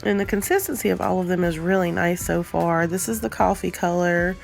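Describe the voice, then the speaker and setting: Casual vlogger, female, stuffy cold voice, gravelly, lots of ums, faint music behind. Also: lowish voice, fairly quick. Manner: chatty, frequent ums, relaxed. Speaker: nail art vlogger. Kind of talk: casual unboxing chat.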